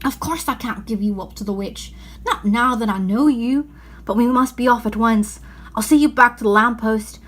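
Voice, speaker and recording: A woman's voice: fairly high pitch, normal speed, a bit bright. A woman voicing an anxious, remorseful male faun from a storybook, pleading and confessing dramatically. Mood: resolved, urgent.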